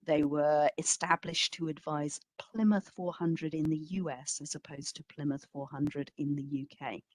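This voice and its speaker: A woman curator presenting a history talk with slides, speaking in measured, emphatic phrases and posing rhetorical questions. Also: low-pitched, steady tone. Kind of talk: public lecture, presenting to an audience with slides.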